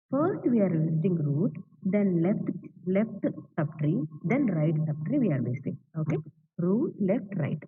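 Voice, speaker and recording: Indian woman lecturing over tinny call audio. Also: fairly high pitch. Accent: Indian English. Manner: repetitive, checks understanding with "right?". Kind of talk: online class lecture. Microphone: video call. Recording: distant tinny online-class audio.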